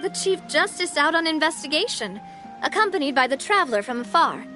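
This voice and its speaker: A woman's voice: sits high, sing-song, a little fast, bright, forceful tone, full character voice. A woman voicing a confident, self-assured young gang leader in a video game. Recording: game audio with music behind.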